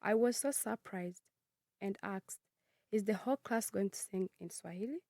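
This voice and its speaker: A woman's voice: mid-range voice, normal speed, dark tone, no room sound, clean studio sound. Earnest young woman reading her life story. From Congo, raised in Kenya. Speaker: student narrator. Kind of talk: reading a personal narrative.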